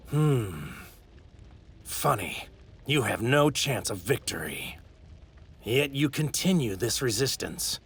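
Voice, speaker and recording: An actor in an English anime dub playing an arrogant, sadistic god-like villain with a haughty, theatrical delivery and a gravelly, sharp-edged voice. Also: male voice, mid-range voice, full character voice. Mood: smug contempt. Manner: haughty, theatrical, savoring his words. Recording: ripped anime audio with faint noise.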